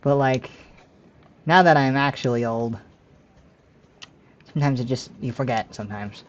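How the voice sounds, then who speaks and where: A man's voice: mid-range voice, moderate pace, highs cut off. A man in his late twenties chatting casually with viewers while streaming a game, rambling and correcting himself as he goes, with frequent laughs. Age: 20s.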